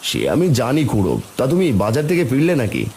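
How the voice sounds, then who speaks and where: A man voicing a village farmer and family man in a cartoon, speaking in a familiar, expressive way. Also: mid-range voice, fast, a little hiss or hum. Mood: concerned. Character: worried village farmer, husband and father. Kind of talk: cartoon voice acting.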